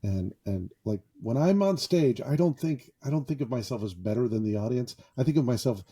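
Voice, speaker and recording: Guy hosting his own podcast from a padded closet, goofy and self-mocking, kinda husky voice. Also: mid-range voice, lively intonation, brisk, warm tone. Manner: self-deprecating and jokey. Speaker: podcast host. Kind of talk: improvised casual podcast monologue. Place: small closet padded with sound foam.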